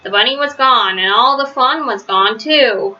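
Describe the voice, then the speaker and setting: A woman reading a children's story aloud, phrasing it simply and deliberately and stressing words for young listeners. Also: on the high side, bright, forceful tone, muffled recording. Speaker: storybook reader. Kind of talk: children's read-aloud narration.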